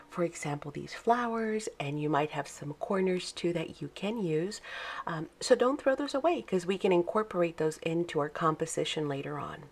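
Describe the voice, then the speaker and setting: Craft host: woman, low froggy morning voice, chatty how-to delivery, some vocal fry. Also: a little mellow. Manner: chatty, friendly step-by-step instruction with fillers. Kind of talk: casual craft tutorial. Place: home craft desk, early morning.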